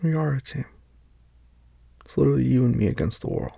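A man speaking softly and reassuringly to a partner, often dropping to a tender whisper in an intimate one-sided roleplay. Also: medium pitch, huge pitch swings, brisk, gravelly, husky, dry recording. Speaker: boyfriend speaking to an unseen listener.

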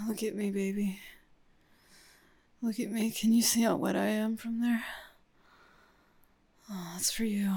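A young woman speaking in an intimate audio roleplay, addressed to the listener, in a breathy, murmuring whisper. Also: on the high side, a little mellow.

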